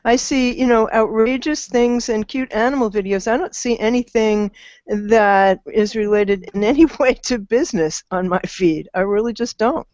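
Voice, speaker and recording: A woman's voice: on the high side, normal speed, darkish tone, no echo. Blunt older woman, dry close sound. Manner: blunt, opinionated. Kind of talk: casual panel discussion. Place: indoor room, video call. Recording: dull lo-fi video call audio.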